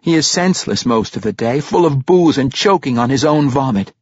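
A sarcastic, stubborn young boy thief from a fantasy storybook, voiced by a man, pitched up from the reader's own voice. He answers tersely and grimly, in a dry, slightly dull recording. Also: lively intonation, a little fast, slightly rough, no echo. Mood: grim, defiant. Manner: grim, terse, defiant replies. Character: defiant, sarcastic, quick-witted young boy thief with cherubic face.

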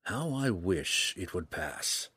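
A man voicing a melancholy young dark elf in an audiobook, quiet, somber and guarded. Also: deep voice, a little slow, breathy edge, no room sound, studio quality, heavily acted. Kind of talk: audiobook character voice.

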